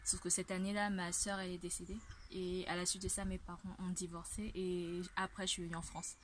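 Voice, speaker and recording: Even-toned young woman telling a personal testimony. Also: fairly low pitch, brisk, slight background noise. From Ivory Coast. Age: 20s. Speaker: vlogger. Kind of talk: personal testimony, casual talk to camera.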